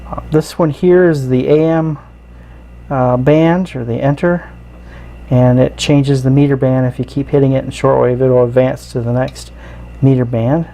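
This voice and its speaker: Man, hobbyist host, dark mellow tone, medium pitch, casual product walkthrough, easygoing halting delivery. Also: flat delivery. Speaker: radio hobbyist host reviewing gear.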